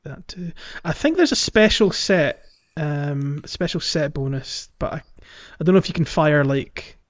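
A man with a slightly high voice chats casually as a podcast co-host about games. He is jokey and talkative, and the recording is a little dull and lo-fi. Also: expressive. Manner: jokey, chatty, quick to laugh. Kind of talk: casual gaming podcast chat.

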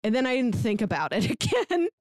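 A woman's voice: fairly high pitch, wildly swooping pitch, brisk, no room sound, clean studio sound. A woman hosting a podcast in a casual, chatty style. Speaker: podcast host.

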